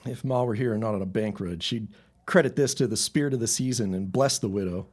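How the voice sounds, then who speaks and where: An actor playing a nosy, teasing young brother who works in the family store, blunt and needling, in a period audio drama. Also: male voice, fairly low pitch, a little fast, a little mellow, breathy edge. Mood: teasing, prying. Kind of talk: period radio-style audio drama acting.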